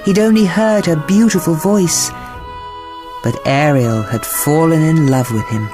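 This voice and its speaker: A woman narrating a bedtime story in an expressive, measured and dramatic way. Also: deep voice, music in the background. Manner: dramatic, measured, acts out characters.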